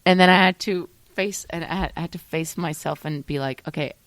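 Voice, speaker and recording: A young woman from Switzerland chats about her music in a relaxed interview. Her voice sits on the low side and sounds close and dry, with no room around it. She talks in an animated way, breaking off and restarting as she thinks. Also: brisk, no echo. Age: young adult. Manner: animated, trails off and restarts often. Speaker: musician guest. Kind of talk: casual interview chat.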